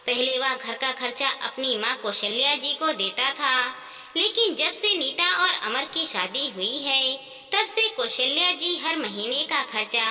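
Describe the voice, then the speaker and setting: A woman's voice: sits high, pushed and bright. A woman reading a scripted moral story as its narrator. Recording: long hall-like reverb.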